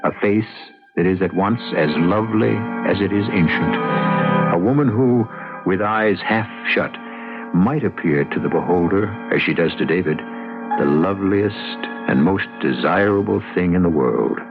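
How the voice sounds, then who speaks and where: Male host for vintage mystery theater, very low and creaky, portentous narration, muffled recording with loud music. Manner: portentous, deliberate, questioning. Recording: dull old radio recording, loud music.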